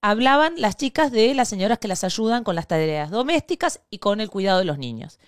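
Podcast co-host, Argentine woman in her 30s, animated storyteller, dry close mic. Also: medium pitch, a little fast, clear, resonant tone, no room sound. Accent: Argentine Spanish. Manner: animated storyteller, acts out quotes, laughs often. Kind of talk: casual chat.

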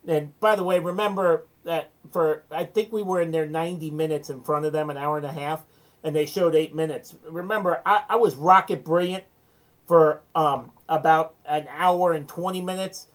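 A man's voice: fairly high pitch, moderate pace. Male interview guest, American, casual and chatty small business owner, self-deprecating storyteller.

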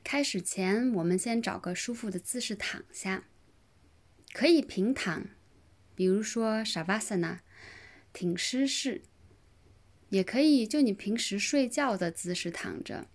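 A woman leading a guided relaxation meditation in a gentle, soothing manner, with pauses between short phrases. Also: medium pitch, expressive. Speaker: yoga nidra meditation guide.

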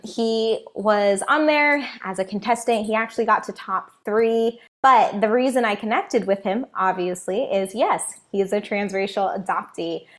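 A woman hosting a podcast interview at an ordinary mid-range pitch. She sounds upbeat and eager, welcoming her guest and asking questions with clear enthusiasm. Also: moderate pace, few pauses. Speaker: podcast host.

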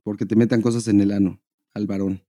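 Mellow Mexican man, casual podcast chat. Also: fairly low pitch, level tone, a little fast. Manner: crude, slangy, joking. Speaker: podcast co-host. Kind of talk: casual chat, reading out listener messages.

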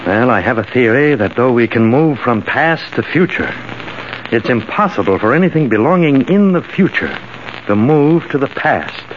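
A male actor plays a solicitous, authoritative professor of 1950s radio drama. His mid-pitched voice moves expressively as he explains things deliberately. The recording is muffled, with clear crackle. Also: lively intonation, close to an everyday voice. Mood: grave, explaining. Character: earnest male professor, senior scientist, solicitous and firm.

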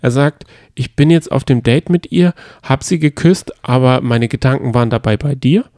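Ein Mann plaudert als Co-Moderator eines Podcasts locker über Reality-TV, sarkastisch und meinungsfreudig. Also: male voice, medium pitch, moderate pace, darkish tone, no echo, studio quality. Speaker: podcast co-host. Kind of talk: casual chat about reality TV.

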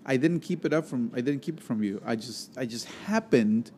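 A man's voice: medium pitch, expressive, brisk, warm tone, a little hiss or hum. Joking man hosting a podcast. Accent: Spanish. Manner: joking, playful, with restarts. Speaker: podcast host. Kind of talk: casual banter. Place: restaurant.

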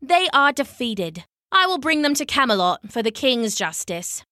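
A woman's voice: high-pitched, big pitch swings, normal speed, pushed and bright, no echo, studio quality, voice pushed up, exaggerated voice. A woman voicing a noble, honorable male knight in a storybook, earnest and declamatory. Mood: firm, principled. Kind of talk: storybook character acting.

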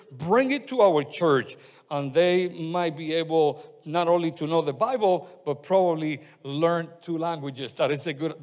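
A man with a heavy Spanish accent preaching a sermon as pastor, speaking haltingly with many restarts, fillers and amens. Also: a little high, darkish tone. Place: church sanctuary. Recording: long reverb of a church hall.

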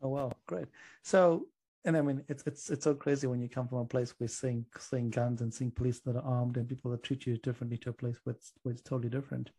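A man's voice: medium pitch, fairly even intonation, quick pace, mellow, husky, poor audio. A man interviewing a guest, affirming his answers with enthusiasm before asking the next question. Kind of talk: conversational interview.

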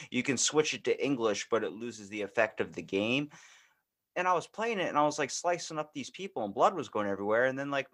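A man's voice: medium pitch, fairly bright tone. A man co-hosting a podcast, chatting casually and telling stories in a rambling, informal way. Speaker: podcast co-host. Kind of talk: casual chat.

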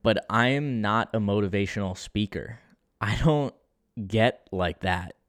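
Low, smooth, monotone male podcaster. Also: a little slow, no echo, studio quality. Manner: monotone, low-key and unexcited; conversational with frequent restarts. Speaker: podcast host. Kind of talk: solo podcast monologue.

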